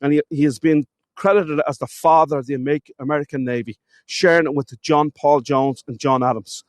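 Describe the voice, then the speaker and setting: A man's voice: on the high side, fairly even intonation, fairly quick, dry recording. A man with a cold, sniffling as he gives a chatty live-streamed walking tour outdoors, talking to viewers and calling them folks. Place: outdoors in a town.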